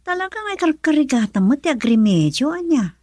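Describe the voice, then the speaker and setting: A woman's voice: sits high, sing-song, a little fast, darkish tone, dry recording, studio quality. An actress playing a discontented young wife who nags and complains at her poor husband in an Ilocano radio drama. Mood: threatening, fed up. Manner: complaining, exasperated.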